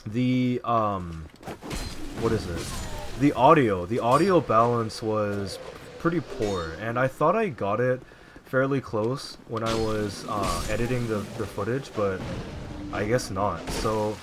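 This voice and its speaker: Low voiced guy quietly mumbling thru a game playthrough, bit of hiss behind him. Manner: relaxed, rambling, frequent fillers. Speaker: let's play gamer. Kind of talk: casual gameplay commentary.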